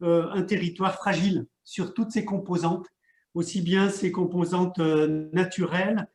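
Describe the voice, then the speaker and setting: A man with a fairly high voice presents to an online panel, stopping often to repeat words as he searches for the next one. His voice rings off the walls of an echoey room. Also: steady tone, unhurried, a little mellow. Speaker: mayor and regional park president.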